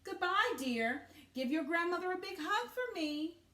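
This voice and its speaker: A woman's voice: very high voice, lively intonation, a little slow, pushed and bright, far from the mic, pitched above the performer's own voice, heavily acted. Woman as a caring, sing-song storybook mother. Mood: affectionate, instructive. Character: caring, instructive mother giving firm gentle advice. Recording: roomy, echoey sound.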